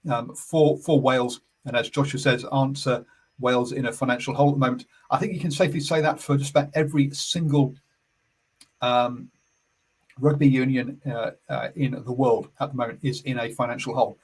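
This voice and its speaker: An English man hosting a casual sports chat on a live stream, calm and easygoing, halting with many fillers and self-corrections. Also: mid-range voice, husky. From England. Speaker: sports radio host.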